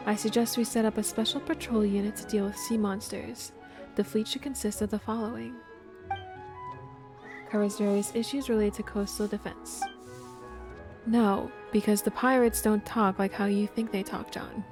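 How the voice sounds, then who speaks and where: Female game streamer, mid-pitched, casual livestream delivery, reads text aloud over music. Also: fairly even intonation, moderate pace.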